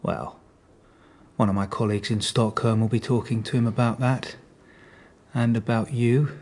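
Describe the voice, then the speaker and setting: An actor playing a patient, dryly sceptical police detective in a radio drama, questioning in a measured, understated way. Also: male voice, mid-range voice, steady tone, a little mellow, natural voice. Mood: quietly insistent.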